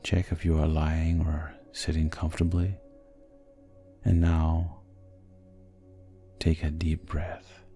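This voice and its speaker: A man narrating a guided sleep hypnosis in a soothing, gentle and measured manner. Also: rumbling low, monotone, faint music behind.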